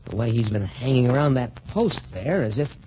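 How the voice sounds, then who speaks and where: Actor as an earnest young hero in muffled vintage radio. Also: male voice, medium pitch, animated, moderate pace, natural voice. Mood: musing, suspicious. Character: earnest, trusting young male student boxer hero. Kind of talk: 1940s radio adventure serial acting. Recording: muffled, noisy 1940s radio recording.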